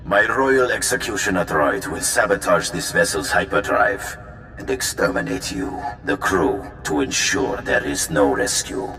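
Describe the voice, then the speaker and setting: A man's voice: sits low, expressive, unhurried, vocal fry, bright, forceful tone, faint music behind, full character voice. An actor playing a proud, ruthless king in a video game, declaiming pompously. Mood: imperious. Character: proud, ruthless king issuing a deadly edict. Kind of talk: video game character acting. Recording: echoey hall with long reverb.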